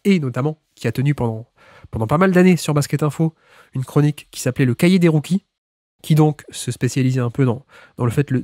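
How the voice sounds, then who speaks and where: A man's voice: medium pitch, darkish tone, no echo, studio quality. A man with a cold hosting a basketball podcast, chatting through the introduction with restarts and small laughs. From France. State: has a cold. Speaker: podcast host.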